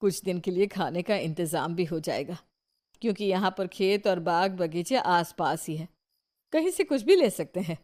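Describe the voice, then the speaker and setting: A woman's voice: lowish voice, animated, moderate pace, a little mellow, lightly acted. Practical village housewife, acted by a woman. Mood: earnest. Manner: earnest, practical. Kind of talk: cartoon moral story dialogue.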